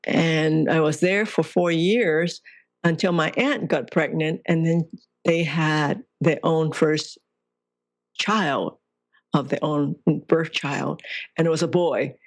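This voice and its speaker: A woman's voice: deep voice, slow pace, dry recording. Earnest woman guest recounting her past. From Hong Kong. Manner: reflective, earnest, laughs lightly. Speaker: guest author. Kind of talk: interview conversation.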